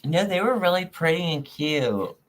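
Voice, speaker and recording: A panel guest chats easily on a live stream, handing out compliments. The voice sits at an ordinary middle pitch. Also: sing-song, normal speed, crowd noise. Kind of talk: casual chat on a live stream.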